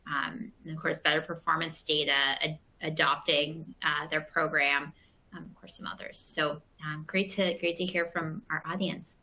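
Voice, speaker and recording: A woman's voice: low voice, fairly even intonation, moderate pace, bright, forceful tone, a little hiss or hum, low-quality recording. A woman moderating a panel discussion, easygoing and full of fillers.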